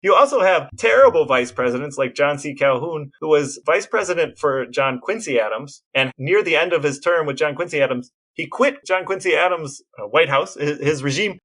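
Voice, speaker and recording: A man's voice: on the high side, expressive, moderate pace, few pauses, fairly bright tone. Man casually recounting historical anecdotes. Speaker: podcast co-host.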